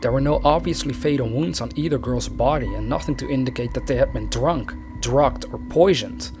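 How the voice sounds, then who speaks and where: A man's voice: medium pitch, brisk. Man narrating true crime over music. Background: background music.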